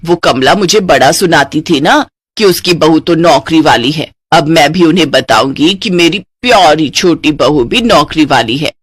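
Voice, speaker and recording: A woman's voice: low voice, expressive, moderate pace, breathy edge, dry recording, clean studio sound, pitched below the performer's own voice. A woman voicing a bossy, nagging middle-aged mother-in-law in a cartoon story. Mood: delighted.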